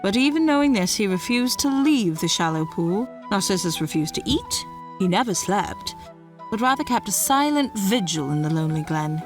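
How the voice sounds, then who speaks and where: A woman narrator with a medium pitch tells a story in a measured, dramatic style. Music plays behind her scripted delivery. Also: very animated.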